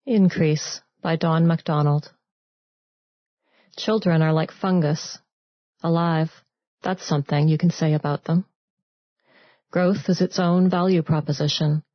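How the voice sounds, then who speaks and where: A woman from Canada, a poet, reading her own verse in a restrained, deliberate manner. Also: sits low, steady tone, no room sound. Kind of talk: poetry reading of own work. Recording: dry, slightly dull close recording.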